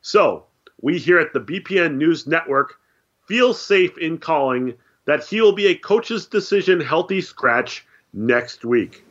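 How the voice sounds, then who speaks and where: A man co-hosting a podcast, nursing a head cold that roughens his voice. He delivers scripted sports news with deadpan sarcasm, and the recording sounds dull and lo-fi. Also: a little high, unhurried. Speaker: podcast co-host. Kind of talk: scripted news segment with dry jokes.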